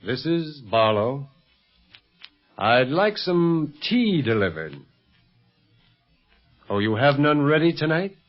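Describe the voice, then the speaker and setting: Animated American secret agent, old radio. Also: male voice, on the high side, slow, long pauses. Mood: measured. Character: calm, confident male secret police agent, an uncle. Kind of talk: 1930s radio adventure serial acting. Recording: muffled 1930s radio recording, faint music.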